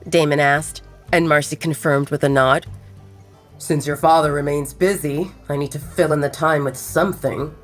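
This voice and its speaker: A woman narrating scripted romance fiction in a polished, expressive storytelling manner. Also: deep voice, normal speed. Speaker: audiobook narrator. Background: music bed.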